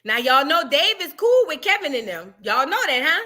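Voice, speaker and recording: A woman's voice: sits high, big pitch swings, moderate pace, pushed and bright. A woman giving blunt, questioning commentary as she reacts to a clip.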